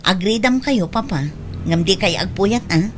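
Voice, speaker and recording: A woman's voice: mid-range voice, expressive, normal speed, slight background noise, natural voice. A woman playing a caring, sensible grown daughter who runs the household, in a radio drama. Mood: caring, concerned.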